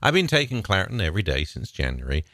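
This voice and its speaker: A man hosting a pre-recorded radio music show in a chatty, joking way, his voice deepened by allergies. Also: huge pitch swings, quick pace, fairly bright tone, no echo, studio quality. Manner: chatty, self-joking asides.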